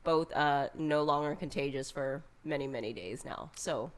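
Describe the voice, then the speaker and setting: A woman hosting a casual live stream chat, congested and stuffy-nosed, talking slowly and in a friendly way. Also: low-pitched, roomy sound. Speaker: host.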